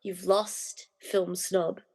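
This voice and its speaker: A woman's voice: on the low side, flat delivery, extremely slow, a little mellow. A woman playing a determined, defiant wife in a radio play, indignant and blunt as she challenges a villain. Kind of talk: hobby podcast radio play acting.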